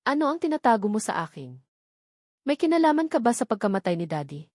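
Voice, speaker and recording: A woman's voice: a little high, big pitch swings, fast, a little mellow, dry recording, studio quality. A woman voicing a hurt, distrustful wife with a cold, disappointed manner in a drama story. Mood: accusing. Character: distrustful hurt wife confronting her husband.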